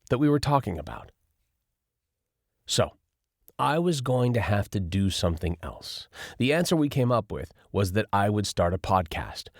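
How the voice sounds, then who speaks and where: Man, audiobook narration, low voice, very animated delivery, dry close mic. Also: no room sound. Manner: lively, expressive reading with retakes. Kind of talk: first-person nonfiction audiobook narration.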